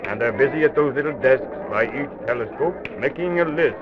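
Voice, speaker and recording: A man acts a patient, stately enchanted knight in a vintage children's radio drama. His voice sits at an ordinary male pitch and he explains things in a measured, courteous manner. The old recording is dull and clearly noisy. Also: lively intonation, lightly acted. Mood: patient, explaining. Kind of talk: old-time children's radio serial acting.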